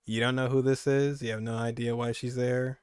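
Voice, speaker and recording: A man's voice: medium pitch, little pitch movement, brisk, darkish tone, smooth, no room sound, studio quality. A young man giving casual, rambling reaction commentary as a gaming streamer, repeating phrases for emphasis.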